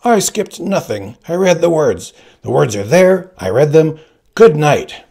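A man's voice: fairly high pitch, animated, normal speed, mellow, voice pushed up, natural voice. A man reading an audiobook plays a stubborn, huffy father who answers in curt, defensive statements. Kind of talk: audiobook character voice.

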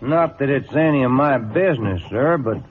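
Folksy American cavalry sergeant, male actor, loyal and wary, medium pitch, thin old-radio sound. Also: animated, normal speed, close to an everyday voice. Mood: respectful, wary. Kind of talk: old-time radio drama acting. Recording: tinny, faintly noisy old radio broadcast.

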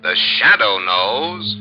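A man's voice: on the high side, full character voice. A man playing a sinister, mocking avenger of crime in a 1940s radio drama, drawing out his menacing words. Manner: drawn-out, menacing. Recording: muffled 1940s radio, organ music.

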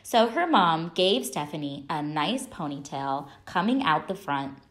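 A woman reads a children's story aloud. Her delivery is slow and deliberate, with lively, expressive swings of pitch and dramatic pauses. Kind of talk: children's picture book read-aloud.

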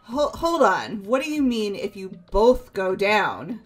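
A woman's voice: medium pitch, big pitch swings, takes it slowly, soft background music. A woman voicing a loyal, emotional teenage girl in a visual novel game, pleading and stammering. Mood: shocked.

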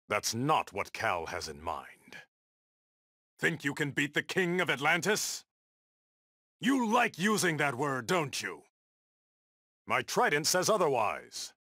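A man's voice: medium pitch, bright, forceful tone, breathy edge, dry recording, clean studio sound, exaggerated voice. An actor playing a proud, stern undersea warrior king in a video game, with a regal, defiant, declamatory delivery. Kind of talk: video game battle dialogue.

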